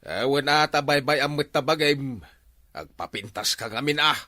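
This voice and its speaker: A man's voice: on the high side, moderate pace, bright, forceful tone, dry recording, clean studio sound. A man playing a joking older neighbour in a comedy drama who teases and laughs a lot. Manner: teasing, laughing. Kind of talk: comedy web drama acting.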